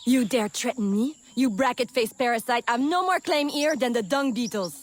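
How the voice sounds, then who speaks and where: An actress playing a proud, sharp-tongued woman smuggler of the swamp in a video game, sassy and blunt. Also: on the high side, moderate pace, close to an everyday voice. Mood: indignant.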